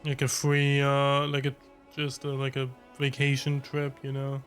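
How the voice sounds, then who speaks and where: Male streamer, unhurried slow delivery, casual, hesitant, informal game commentary, faint music behind. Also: mid-range voice, completely flat delivery, a bit bright. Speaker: streamer reacting to a game story.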